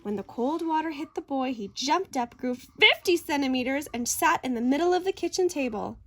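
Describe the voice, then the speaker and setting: A woman reading a children's picture book aloud in an expressive, deliberate, dramatic way. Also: sits high. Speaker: story reader.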